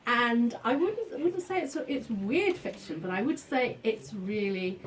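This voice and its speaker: A woman informally introducing an author at a live event, halting as she searches for words. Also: fairly high pitch, animated. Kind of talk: informal spoken introduction to an audience. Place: bookshop book launch event. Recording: distant mic, faint room noise.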